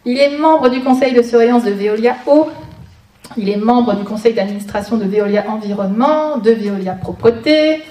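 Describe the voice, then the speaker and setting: A woman's voice: a little high, warm tone. Woman, public speaker, informal lecture delivery, wry and sarcastic, slightly distant mic, faint noise. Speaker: guest lecturer, author. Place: conference room before an audience. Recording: echoey room, distant mic, noisy.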